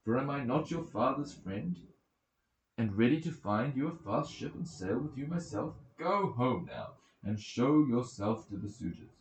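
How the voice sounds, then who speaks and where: A man's voice: mid-range voice, lively intonation, a little slow, pitched above the performer's own voice. A man voicing a goddess disguised as a wise old male mentor in an epic audiobook, encouraging and emphatic. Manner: emphatic, commanding, reassuring. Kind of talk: dramatic audiobook character reading. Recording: roomy echoing home recording.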